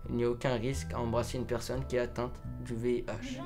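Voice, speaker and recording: A young man giving health facts in a clear, read-out narration. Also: mid-range voice, little pitch movement, fast, over loud music. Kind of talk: scripted informational narration.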